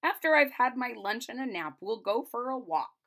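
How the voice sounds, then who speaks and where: A woman's voice: on the high side, lively intonation, normal speed, a bit bright. Woman reading as a kindly storybook grandmother. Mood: agreeable. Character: kindly grandmother, calm and mildly puzzled.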